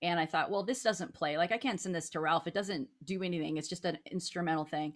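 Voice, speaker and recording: A woman's voice: on the low side, fast talker. A woman hosting a talk show in playful, casual banter.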